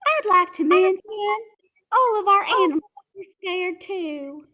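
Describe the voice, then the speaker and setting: A woman playing a timid, simple farmer's wife in a stage radio play, speaking in a halting, prim way. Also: extremely high pitch, animated, sharp tone, lo-fi sound, slight background noise, full character voice.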